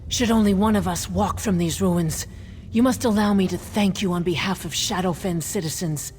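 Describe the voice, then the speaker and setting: A woman playing an aging lizardfolk officer in a fantasy game, solemn and formal with dry wit, speaking in measured phrases. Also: on the low side, a little mellow, lightly acted. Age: older. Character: aging female lizardfolk officer, solemn, reverent, wryly joking. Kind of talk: fantasy game character acting.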